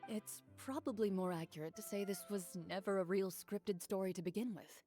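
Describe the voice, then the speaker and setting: A woman playing a composed, honourable hunter and duelist in a video game, speaking in a measured, formal way. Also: mid-range voice, mellow, soft background music, close to an everyday voice. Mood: unruffled.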